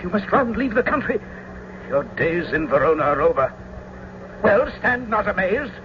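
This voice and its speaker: A man's voice: high-pitched, big pitch swings, moderate pace. An actor playing a sensible, cautious young nobleman in a radio Shakespeare drama, speaking with urgent, pleading delivery. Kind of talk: old-time radio Shakespeare acting. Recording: noisy, muffled old radio recording.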